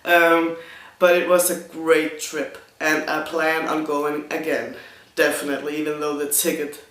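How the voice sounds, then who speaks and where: A young man with a Danish accent chats through a viewer Q&A in front of a green screen, his voice sitting a touch high and his delivery slow and unhurried. He hesitates often, restarting sentences and filling gaps with ums, and the room around him rings with echo. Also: little pitch movement, a bit bright. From Denmark. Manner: hesitant, many ums and restarts, self-conscious on camera. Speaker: YouTuber answering viewer questions. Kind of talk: casual Q&A vlog.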